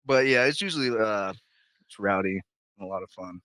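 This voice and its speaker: A young man with a fairly low voice talks in a relaxed, rambling way, cursing often as he tells stories. The recording is dry and close, with no room echo. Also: brisk. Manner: laid-back, swears freely, rambling storyteller. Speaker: musician guest. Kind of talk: casual interview chat.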